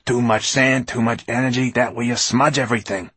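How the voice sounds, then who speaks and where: A man's voice: low voice, measured pace, slightly rough, dry recording. A man voicing a vain, irritable old male poet in an audiobook story, lofty and theatrical. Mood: exasperated. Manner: pompous, theatrical, easily exasperated.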